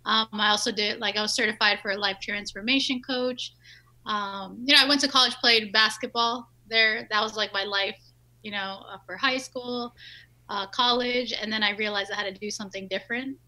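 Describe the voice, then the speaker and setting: A woman's voice: mid-range voice, fairly even intonation, normal speed, pushed and bright. A woman in her early thirties chatting casually as an interview guest, telling her story and often checking in with the listener. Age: early 30s. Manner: chatty, checks in with "right?" and "you know". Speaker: podcast guest, business owner.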